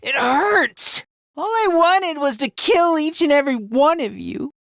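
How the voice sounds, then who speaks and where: A man's voice: extremely high pitch, lively intonation, a little slow, creaky, a bit bright, dry recording, clean studio sound, full character voice. A man voicing a timid, self-loathing, frantic young male fighter in an anime-style video game dub, stammering, self-deprecating and easily panicked. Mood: whiny, bitter.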